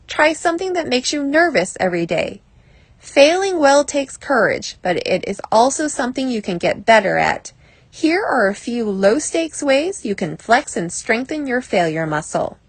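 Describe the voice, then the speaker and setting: A woman reading a self-help article aloud as scripted narration, in a measured, clearly phrased way. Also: on the high side, no echo.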